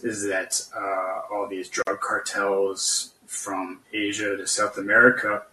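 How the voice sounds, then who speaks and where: Distant and roomy, this is a man giving his views as a guest in a political discussion. He speaks slowly and haltingly, often searching for the next word. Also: lowish voice, bright, forceful tone. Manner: halting, hesitant, searching for words. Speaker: podcast guest. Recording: roomy, echoey, distant from the mic.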